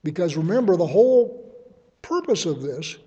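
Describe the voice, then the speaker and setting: A man teaching a verse-by-verse Bible study, reasoning aloud in a measured way and offering his readings as suggestions. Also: sits high, big pitch swings, dark tone, breathy edge, long reverb tail, lo-fi sound.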